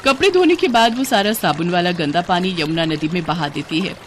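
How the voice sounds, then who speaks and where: A woman's voice: on the low side, lively intonation, a little fast, slight background noise. A woman narrating a scripted cartoon story.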